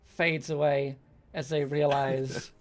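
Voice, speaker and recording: A game master improvising narration for a tabletop role-playing game, haltingly, searching for words as the scene is described. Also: mid-range voice, little pitch movement, faint music behind.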